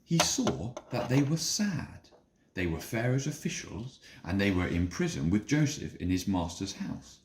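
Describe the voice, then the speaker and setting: A man's voice: on the low side, big pitch swings, a little slow. A man narrating a Bible story as a dramatic scripted reading. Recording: echoey room, faint noise and clicks.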